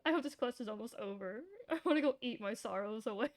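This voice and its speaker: A woman's voice: fairly high pitch, expressive, fast talker. A woman streaming a game, reacting casually and candidly in informal, sweary language. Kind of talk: casual live reaction commentary.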